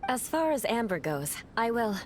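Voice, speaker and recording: A woman's voice: a little high, sing-song, normal speed, slight background noise. A woman voicing a proud, aloof young female knight captain in a video game, speaking in a cool, clipped, haughty way over game music.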